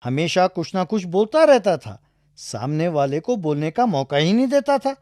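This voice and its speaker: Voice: mid-range voice, big pitch swings, moderate pace, mellow, dry recording, studio quality. A storyteller narrating a scripted tale for children.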